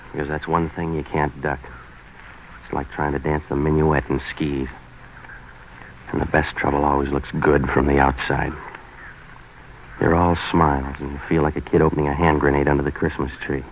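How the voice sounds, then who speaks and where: An actor playing a tough, cynical waterfront fixer, deadpan and terse, in 1940s hardboiled radio drama. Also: male voice, very deep voice, normal speed. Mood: wry, world-weary. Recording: noisy vintage 1940s radio broadcast.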